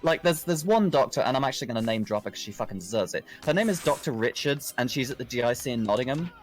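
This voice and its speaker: A man's voice: mid-range voice, lively intonation, quick pace. A man chatting casually in an emphatic, sweary way. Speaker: stream guest. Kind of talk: casual chat. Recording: voice chat with music behind. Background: music.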